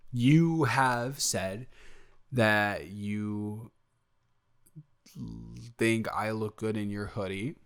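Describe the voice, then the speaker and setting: Man playing a slow, bashful young male roommate. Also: on the low side, fairly bright tone. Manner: hesitant, rambling, halting delivery. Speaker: audio roleplay performer. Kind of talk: improvised romantic roleplay, intimate casual chat.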